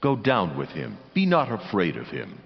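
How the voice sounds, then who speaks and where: A man playing a solemn, authoritative angel in a dramatized Bible audiobook, making slow, weighty pronouncements. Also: on the low side, wildly swooping pitch, vocal fry, exaggerated voice. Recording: cavernous long reverb, slightly dull.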